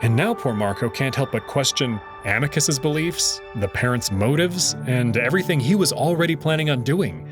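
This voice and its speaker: A man narrating a first-person visual novel story with expressive engagement, then giving his own commentary, over music. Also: medium pitch, normal speed, few pauses. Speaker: visual novel reader and host.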